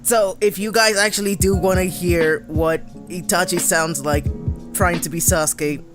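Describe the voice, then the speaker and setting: A man's voice: sits high, fairly even intonation, unhurried. A man hosting a podcast in a casual, conversational style. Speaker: podcast host. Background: music.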